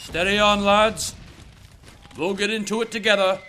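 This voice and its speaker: Man playing a high-pitched, rallying army lieutenant. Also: fairly even intonation, moderate pace, pushed and bright, slight background noise. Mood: reassuring. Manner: commanding, encouraging, calling out to troops. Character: steady, rallying army lieutenant of the Civil War.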